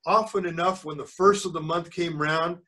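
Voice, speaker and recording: An older man reading a storybook aloud as a grandfather would, pausing for chatty asides and questions to young listeners. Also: a little high, fairly even intonation, recorded from a distance, crowd noise. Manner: pauses to quiz the listeners.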